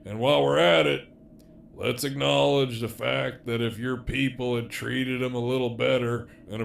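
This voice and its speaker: A heavily gravelly man's voice acting out a role as a cocky, teasing villain behind bars in an audio drama. He takes his time, drawling with sardonic bite, over faint background noise. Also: medium pitch.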